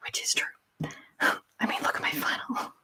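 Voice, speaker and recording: An Australian woman whispering a casual, rambling ASMR livestream, softly repeating words as she chats. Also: a little slow. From Canberra, Australia.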